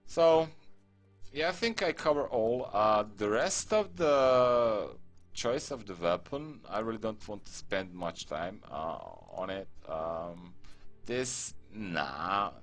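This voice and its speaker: A man's voice: on the low side, lively intonation, slow pace, soft background music. A man from Croatia with a Croatian accent, English not his first language, talking through a game tutorial with many fillers and restarts. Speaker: gaming tutorial creator.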